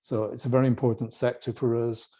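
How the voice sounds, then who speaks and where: A man from the UK with a British accent, a company executive answering interview questions in a relaxed, chatty way over a video call, with joking asides. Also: medium pitch, a little fast. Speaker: guest executive.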